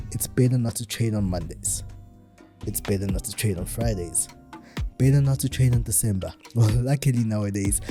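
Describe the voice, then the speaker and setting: A man hosting a podcast, asking interview questions and reading an ad segment, often dropping in short affirmations. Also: on the low side. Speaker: podcast host.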